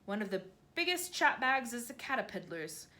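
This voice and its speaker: A woman voicing a huge, gentle male giant who speaks with jumbled grammar and made-up words, earnest and full of wonder. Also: fairly high pitch, big pitch swings, normal speed.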